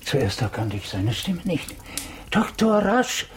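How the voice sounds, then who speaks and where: An actor playing an agitated male doctor in a radio play, bringing urgent news in a halting, dramatic way. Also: on the high side, very animated, a little hiss or hum. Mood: alarmed.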